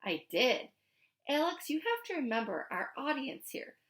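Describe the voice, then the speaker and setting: A woman voicing a gently concerned, practical scientist mother in a storybook, careful and earnest. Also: high voice, very animated, measured pace, heavily acted. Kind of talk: storybook character reading.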